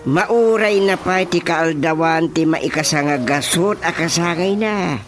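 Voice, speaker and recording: An actor with a Filipino accent playing an excitable, teasing village chairman in a radio drama comedy. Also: medium pitch, a little slow, close to an everyday voice. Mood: amused. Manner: animated, exclaiming, teasing. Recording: radio drama with music underneath. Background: clear music.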